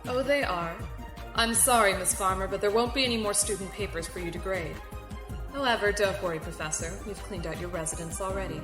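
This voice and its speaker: An actress playing a commanding fleet security captain in a science fiction audio drama, clipped and falsely polite. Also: female voice, medium pitch, brisk, over music, close to an everyday voice. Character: commanding fleet security captain, woman, smug and coolly menacing.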